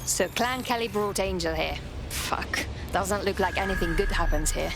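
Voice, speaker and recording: A tough female hacker voiced by an actress from a video game. Her voice is mid-pitched, blunt and sardonic, with music clearly playing behind it. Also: normal speed, close to an everyday voice. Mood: grim.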